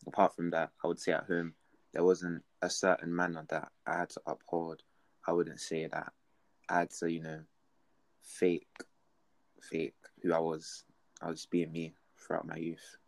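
A man's voice: deep voice, monotone, normal speed, creaky, dry recording. A seventeen-year-old man, chatting casually as a guest. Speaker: podcast guest, student. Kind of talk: casual chat.

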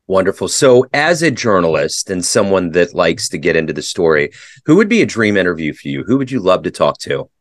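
A man with a very deep voice hosts a podcast interview. He is recorded close and dry, with no room sound. He asks friendly questions and keeps things moving with quick affirmations. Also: very animated, no room sound. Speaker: interview host.

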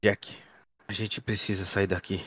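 A man's voice: sits low, little pitch movement, a little slow, a bit bright. A man with a Brazilian accent playing a rattled, hard-boiled detective in a tabletop role-play, insistent and prone to stammering. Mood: uneasy.

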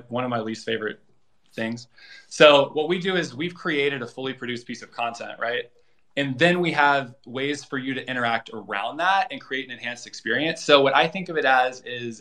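A man speaking casually as an interview guest, explaining and pitching his project in a conversational way. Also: pushed and bright.

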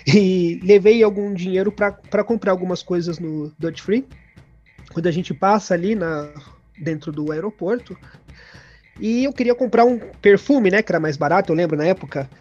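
High-voiced Brazilian man telling personal stories. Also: moderate pace, a little mellow. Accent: Brazilian Portuguese. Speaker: interview guest. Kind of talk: conversational personal storytelling. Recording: dull lo-fi audio with music behind.

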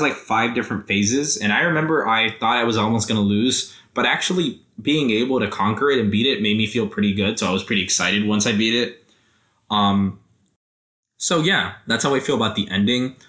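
A man's voice: on the low side, pushed and bright. Hesitant young man, echoey room. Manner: rambling, hesitant, many fillers. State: sore throat, voice tiring. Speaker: podcast host. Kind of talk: casual solo podcast review.

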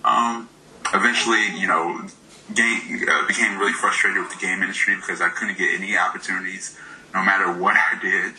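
A man's voice: low voice, fairly even intonation, brisk, pushed and bright, faint music behind, poor audio. A man in his thirties from Michigan, an indie game developer, talking casually in an interview, hesitant with many fillers and laughs, his voice slightly worn.

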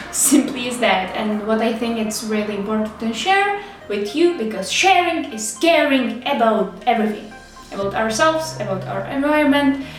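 A young woman from the Czech Republic with a Czech accent, rambling casually to the camera with lots of fillers and laughs. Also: a little high. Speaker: vlogger. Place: small studio with street traffic outside. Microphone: camera's built-in mic, no external microphone. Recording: roomy, distant camera mic with faint street noise.

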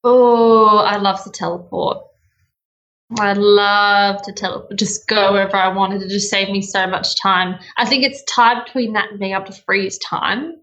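A woman's voice: medium pitch, fairly even intonation, moderate pace, a bit bright, lo-fi sound. Young Australian woman, interview guest, bubbly and giggly, mid-range voice. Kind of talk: casual interview chat.

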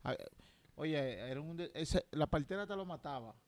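A man with a Dominican Spanish accent in casual podcast banter, colloquial and quick to argue as a co-host. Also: a little high, no echo. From Dominican Republic. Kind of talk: casual chat.

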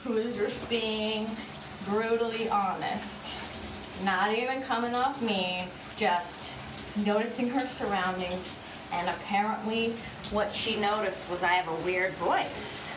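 A woman's voice: medium pitch, slow. A woman in her thirties casually rambling to her listeners in a wry, self-deprecating, hesitant way, swearing freely. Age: 30s. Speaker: vlogger. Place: in a bathroom shower. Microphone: phone at some distance. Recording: roomy, distant mic, running shower water noise. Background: running shower water.

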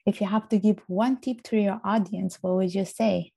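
A woman's voice: medium pitch, steady tone, normal speed, creaky, dark tone, slightly rough. A woman with a strong Italian accent, chatting as a guest in an earnest, emphatic way. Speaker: interview guest. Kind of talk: casual interview chat.

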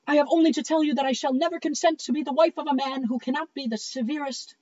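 A woman's voice: high-pitched, fairly even intonation, fast, warm tone, no room sound, lo-fi sound, natural voice. A woman playing a haughty, self-important widow in a comedic play reading, speaking in a grand, emphatic, theatrical way. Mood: firm, dramatic.

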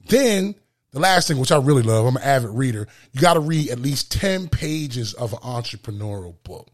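Big booming guy talking on his podcast, kinda high voice, hammers points home and repeats himself. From lives in Florida. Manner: emphatic, repeats phrases for effect. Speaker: podcast host. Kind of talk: motivational solo podcast talk.

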